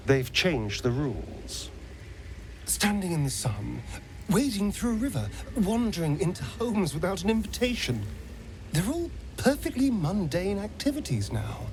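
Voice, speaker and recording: An actor playing a sly, theatrical vampire spawn in a video game, coaxing and dryly wry. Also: male voice, a little high, a little mellow, slight background noise. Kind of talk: dramatic video game dialogue acting.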